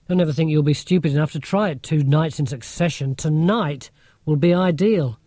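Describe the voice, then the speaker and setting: Man voicing a blunt, clever schoolgirl, mellow. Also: a little high, normal speed, no room sound, clean studio sound, close to an everyday voice. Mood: decisive.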